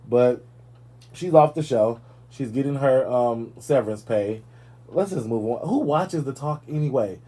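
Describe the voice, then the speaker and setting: Sassy, mellow-voiced man host. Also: mid-range voice. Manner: sassy, animated, playful asides. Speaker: talk show host. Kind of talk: casual gossip chat.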